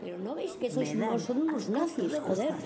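Radio drama: indignant young activist played by a woman, exasperated, higher voice, heavy crowd noise. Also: animated, brisk, lightly acted. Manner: exasperated, blunt. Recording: very loud crowd and background noise.

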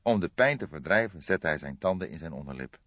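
A man reading a detective novel aloud as scripted narration, in a measured storytelling manner. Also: a bass voice, expressive, a bit bright. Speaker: audiobook narrator. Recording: dull lo-fi, boxy roomy sound.

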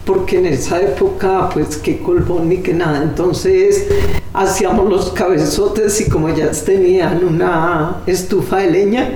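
A woman's voice: fairly low pitch, fairly even intonation, measured pace, mellow. A middle-aged woman with a Colombian accent, recollecting and explaining in an interview as a teacher. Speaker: teacher and carnival founder. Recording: distant, slightly echoey, faint ambient noise.

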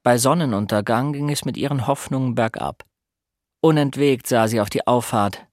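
A man playing a somber narrator who looks back on his boyhood in a radio drama, measured and grave. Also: mid-range voice, darkish tone, dry recording, studio quality, lightly acted. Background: music.

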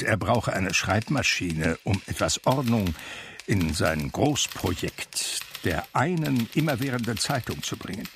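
A man acting the narrator of a radio drama, a reflective blind man telling his memories in a measured, wry, gravelly voice. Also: on the low side, slight background noise.

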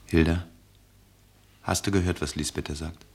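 A man acting an insistent, needling figure in a radio play, husky and pointed. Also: low voice, level tone, normal speed. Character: insistent, needling man pressing a woman to answer.

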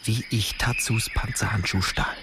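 An actor playing a scheming, secretive fantasy adventurer in a radio drama, speaking in a deliberate, conspiratorial way. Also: male voice, fairly low pitch, fairly even intonation, heavy background noise, lightly acted. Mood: secretive, confident. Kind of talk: German radio drama dialogue. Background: music.

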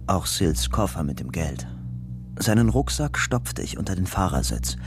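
A man's voice: low voice, sing-song, normal speed. An actor playing an anxious, guilt-ridden young man on the run in a crime radio drama. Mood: grim, subdued. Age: young adult. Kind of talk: German crime radio drama, first-person narration. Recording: music bed behind the voice.

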